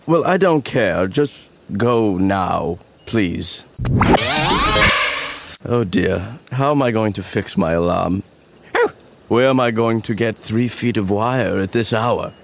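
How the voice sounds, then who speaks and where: A man voicing a fussy, pompous middle-aged gentleman and sore loser in a cartoon, given to flustered stammering. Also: fairly low pitch, lively intonation, slow, vocal fry, noisy background, exaggerated voice. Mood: peevish. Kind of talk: animated comedy dialogue.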